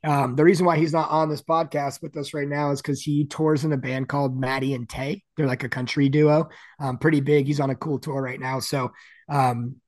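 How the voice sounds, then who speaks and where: A man with a deep, gravelly, raspy voice, chatting casually as a guest musician, with plenty of "like" and "you know" fillers. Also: level tone, normal speed, a little mellow, no room sound. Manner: rambling, many "like" fillers. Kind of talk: casual interview chat.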